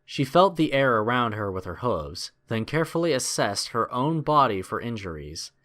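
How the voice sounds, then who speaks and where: A man's voice: mid-range voice, big pitch swings, moderate pace, fairly bright tone. A man narrating a scripted adventure story in a dramatic storytelling style.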